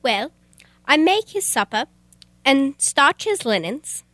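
A woman's voice: high voice, big pitch swings, slow pace, pushed and bright, dry recording, heavily acted. A young woman playing a dutiful, matter-of-fact housemaid in an amateur old-time radio play. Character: dutiful, simple housemaid who lives in a closet.

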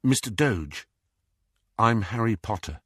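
A man's voice: on the low side, animated, takes it slowly, fairly bright tone, slightly rough, no room sound, studio quality, full character voice. A man voicing an earnest teenage boy wizard in an audiobook. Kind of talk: audiobook character voice.

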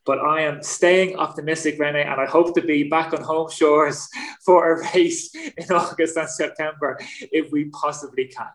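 Chatty podcast host, higher voice. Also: male voice, steady tone, normal speed, fairly bright tone. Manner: chatty, repeats words when thinking. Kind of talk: casual podcast chat.

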